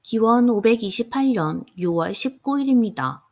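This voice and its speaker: Storybook boy page, cheerful and chatty, very polite, put on by a woman narrator, pitched up. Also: expressive, moderate pace, darkish tone. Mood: politely cheerful. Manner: polite and formal. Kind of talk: audiobook character voice.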